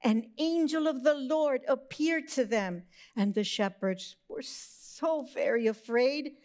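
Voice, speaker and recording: A woman narrating a scripted Christmas story for children, expressive, with drawn-out emphasis. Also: high-pitched.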